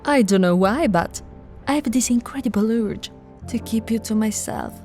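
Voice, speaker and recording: A woman voicing a possessive, seductive vampire royal in an ASMR roleplay, teasing and often slipping into breathy whispers. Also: fairly high pitch, animated, soft background music. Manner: slow, teasing, breathy whispering with soft laughs.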